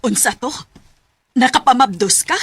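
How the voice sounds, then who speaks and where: Bossy cebuano mom in a radio drama, raspy n kinda high. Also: female voice, expressive, normal speed, a bit bright, full character voice. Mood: angry, shocked. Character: domineering, scolding mother of a grown son.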